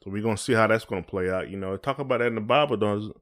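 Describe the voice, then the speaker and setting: Low male voice, American accent, casual podcast host, colloquial, conversational. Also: very fast, fairly bright tone. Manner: colloquial, often checks in with "you know".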